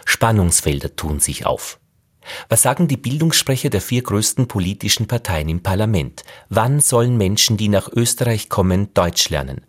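A man narrating a radio feature in a measured, questioning way. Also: lowish voice, sing-song, breathy edge. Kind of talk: scripted narration.

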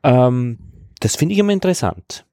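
A man with an Austrian accent talks in a relaxed, mellow voice at a medium pitch. He feels his way through his thoughts with hesitations, as a teacher would in an informal discussion. The sound is very dry and close. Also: no room sound. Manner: hesitant, halting, searching for words. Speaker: teacher at an evening school, podcast host. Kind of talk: casual reflective discussion.

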